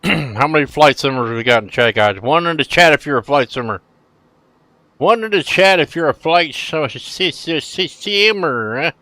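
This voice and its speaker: A middle-aged man streaming casually, chatting with viewers in a laid-back, rambling way and letting phrases trail off quietly. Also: fairly high pitch, lively intonation, normal speed, no room sound. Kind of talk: casual live-stream chat.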